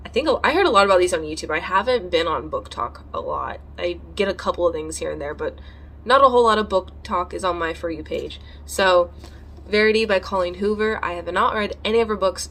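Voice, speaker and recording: A woman's voice: medium pitch, a bit bright, slight background noise. A woman vlogging casually about books, chatty and rambling, with a congested, slightly nasal voice. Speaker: book vlogger. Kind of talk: casual vlog chat.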